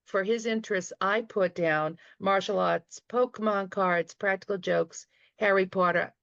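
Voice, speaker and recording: A woman's voice: mid-range voice, steady tone, a little slow, gravelly, fairly bright tone, no echo, highs cut off. A woman with a Boston accent giving earnest, fond remarks as a guild director on a video call.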